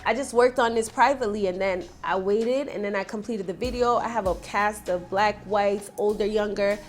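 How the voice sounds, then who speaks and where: A woman's voice: mid-range voice, moderate pace. A young woman rapper from Jamaica, chatting in a casual interview and telling stories with energy, now and then calling people honey. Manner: animated storyteller, calls people honey. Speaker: rapper guest.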